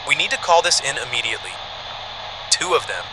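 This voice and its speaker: A man's voice: medium pitch, sing-song, a little fast, background noise, lightly acted. A man acting as an anxious, wary park ranger in a horror drama, uneasy and urgent. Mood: shaken.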